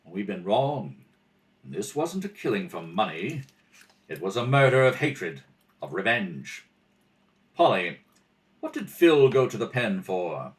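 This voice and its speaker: A man playing a shrewd, composed detective in a radio mystery, measured, pointed and wry. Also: lowish voice, very animated, pitched below the performer's own voice. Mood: probing, accusing. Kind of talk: old-time radio mystery acting.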